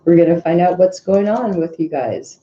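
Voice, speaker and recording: A woman's voice: lowish voice, normal speed, background noise. Nasal, congested woman reading tarot. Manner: rambling, filler-heavy, hums to herself. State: congested sinuses. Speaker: tarot reader. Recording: echoey room, dull lo-fi sound.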